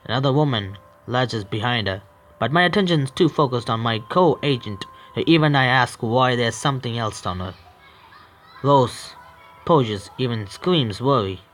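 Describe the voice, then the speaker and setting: A man with an Indian English accent, a gaming streamer reading a horror visual novel aloud, with halting restarts. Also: mid-range voice, fairly bright tone, soft background music. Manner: halting, stumbling read with restarts.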